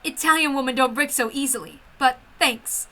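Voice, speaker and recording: Woman acting a high-pitched, feisty Italian woman. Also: normal speed, pushed and bright. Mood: hurt, grateful. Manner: urgent, emotional. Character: feisty, defiant young Italian woman in danger.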